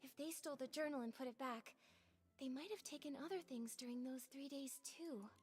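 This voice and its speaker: Woman voicing sweet polite young girl detective in a video game, high voice, thoughtful. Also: a little fast, lightly acted. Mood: curious. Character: gentle, curious young heroine investigating a mystery.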